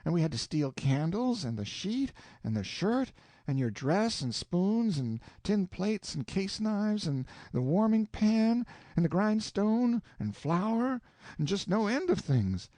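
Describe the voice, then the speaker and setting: A man voicing an excitable, boastful young country boy who rattles off his exploits eagerly. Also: high voice, measured pace, dry recording, pitched above the performer's own voice.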